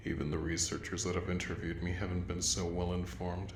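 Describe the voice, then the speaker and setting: An extremely deep, gravelly voice belongs to a courtly plague doctor creature, acted by a man. He speaks slowly and formally in a breathy murmur, with calm menace and obsessive interest. It is an audio roleplay with a roomy echo around the voice. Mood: intrigued, menacing. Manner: slow, formal, old-fashioned diction, softly menacing. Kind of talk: audio roleplay monologue addressed to the listener. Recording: roomy echo with faint background noise.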